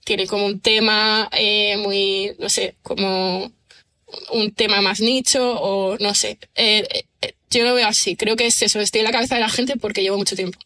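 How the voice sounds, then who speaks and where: Bright-voiced chatty woman. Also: medium pitch, fairly even intonation, moderate pace. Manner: chatty, many fillers and tag questions. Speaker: guest writer. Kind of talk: relaxed podcast conversation.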